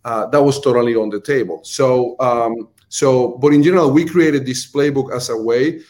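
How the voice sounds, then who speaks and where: A man's voice: mid-range voice, normal speed, darkish tone. A man with a Colombian accent, a restaurant marketing executive, explaining earnestly as an interview guest, with frequent fillers and repeated words.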